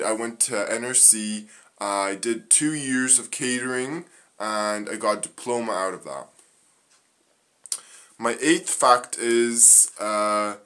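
A young man from Northern Ireland in a living room, reading off facts about himself into a phone camera, halting with many ums and uhs. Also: medium pitch, a bit bright. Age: young adult. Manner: halting, frequent ums and uhs, slow and plain. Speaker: vlogger. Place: small living room indoors. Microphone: phone camera at arm's length.

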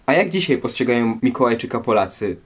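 A man with a medium-pitched voice hosts a history programme. He reads prepared links and poses formal questions to his guests. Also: expressive, fast talker, loud music behind the voice. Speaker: host of a history audio programme. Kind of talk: scripted presenting with questions to guests.

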